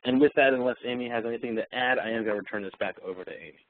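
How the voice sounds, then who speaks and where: A man giving a live software demonstration, explaining features and speaking voice commands as he goes. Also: medium pitch, rapid-fire, narrow, tinny audio, a little hiss or hum.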